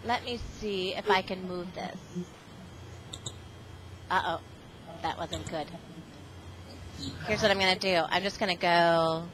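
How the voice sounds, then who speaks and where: A woman's voice: on the low side, noisy background. A middle-aged woman reading aloud to a class of children over a video call, exclamatory and often asking them questions. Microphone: video call microphone.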